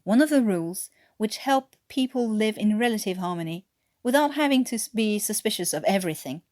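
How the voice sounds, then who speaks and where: A woman from France narrates fiction expressively, her pitch moving a lot around a medium register. Her French accent leans toward an attempted English one. The recording is close and dry. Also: animated, fairly quick, darkish tone, dry recording. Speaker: amateur podfic narrator. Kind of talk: expressive scripted story narration.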